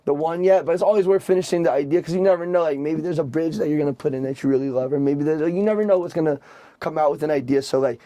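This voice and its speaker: A man's voice: on the high side, very fast, mellow, dry recording. A young man, a rapper, chatting casually on a podcast, rambling and leaning heavily on filler words. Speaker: rapper guest.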